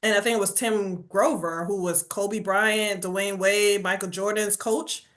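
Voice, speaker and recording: A woman's voice: medium pitch, measured pace. A woman from Jamaica with a New York accent, a guest on a podcast, talking casually and animatedly, acting out quotes and tagging lines with "right?". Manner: animated storyteller, says "right?" constantly, acts out quotes. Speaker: podcast guest, burnout coach. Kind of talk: casual conversational interview.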